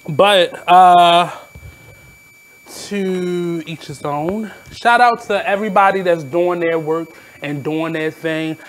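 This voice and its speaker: A man in his thirties from Baltimore with a naturally high voice, hosting his radio show in a candid, blunt, reflective monologue. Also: takes it slowly, slight background noise, low-quality recording. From Baltimore, USA. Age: 30s. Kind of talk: casual monologue.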